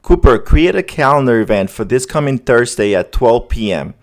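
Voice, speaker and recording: Man, medium pitch, casual tech demo host, conversational step-by-step narration. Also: sing-song, normal speed. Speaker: host demonstrating an AI agent setup.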